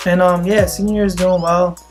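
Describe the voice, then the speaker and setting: A young man in his senior year of college, chatting casually and laughing often, with soft music behind him. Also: high voice, a little fast. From Philippines. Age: young adult. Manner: relaxed, laughs often, says man a lot. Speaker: co-host. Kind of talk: casual chat.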